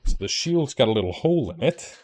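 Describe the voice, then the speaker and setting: A man's voice: mid-range voice, very animated, brisk, a little mellow. A young Icelandic man chatting casually on a livestream, rambling and enthusiastic, with many fillers and restarts. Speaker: streamer.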